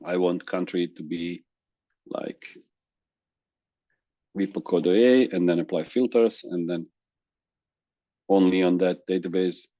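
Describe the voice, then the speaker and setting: A man whose first language is Croatian, presenting a software demo in a webinar, halting with restarts and fillers over a video call. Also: low voice, dry recording, dull audio. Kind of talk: screen-share software demo.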